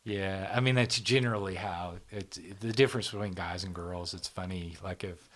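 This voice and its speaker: A man's voice: low-pitched, fairly even intonation, normal speed, a bit bright. A middle-aged man hosting a casual talk, explaining at length with many fillers like "right?" and "you know". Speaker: show founder and host.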